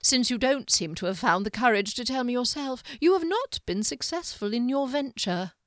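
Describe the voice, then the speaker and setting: A woman voicing a calm, sly male wizard who mocks in slow, quietly menacing tones. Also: fairly high pitch, no echo, studio quality, pitched above the performer's own voice. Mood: mocking.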